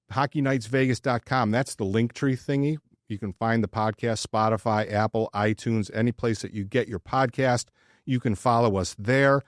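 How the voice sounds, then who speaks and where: A man with a mid-pitched voice chats as a sports podcast co-host. His delivery is informal and joking, with words often repeated as he gets going, in a dry, close recording. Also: no echo. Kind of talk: casual sports podcast chat.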